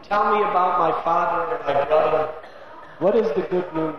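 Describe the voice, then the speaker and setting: Man voicing a doting queen mother, gushing questions, fairly high voice, echoey. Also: moderate pace, a crowd in the background, lightly acted. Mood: eager. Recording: echoey, dull lo-fi room recording.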